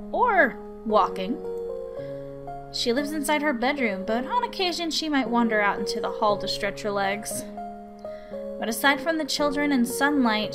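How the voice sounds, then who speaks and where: A woman voicing an anxious, apologetic, chatty caretaker in a visual novel, rambling politely. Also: high-pitched. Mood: apologetic, awkward.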